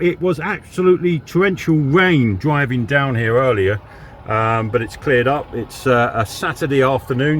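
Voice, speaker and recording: Male CB hobbyist from southern England, casual and rambling, medium pitch, faint noise behind. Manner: chatty, rambling, radio-operator jargon. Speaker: CB radio hobbyist and video host. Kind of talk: casual radio chat and how-to demo.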